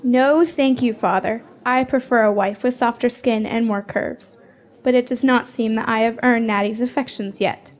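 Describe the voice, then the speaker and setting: A fierce young warrior , played by a woman, with a slightly high voice. She is proud and defiant, speaking in bold, blunt declarations as in a fantasy radio drama. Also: fairly even intonation, moderate pace, lightly acted. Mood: proud, dismissive.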